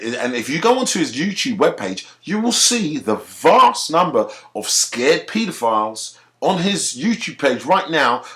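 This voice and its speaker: A man from Africa who speaks with a British accent, delivering a heated, profane rant straight to camera. His pitch swings widely, up and down, as he presses each point. He repeats words and restarts sentences as he pushes on. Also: a bit bright. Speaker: video commentator. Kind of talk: ranting commentary to camera.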